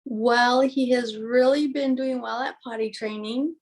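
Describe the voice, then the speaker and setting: A woman dog owner in a casual video call, calmly explaining her puppy's progress. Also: a little high, measured pace. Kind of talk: casual chat.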